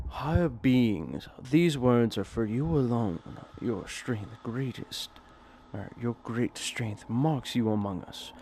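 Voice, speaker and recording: A man putting on a solemn, slow voice to read out an ancient inscription from a video game. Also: medium pitch, warm tone, a little hiss or hum. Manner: slow, grave recitation.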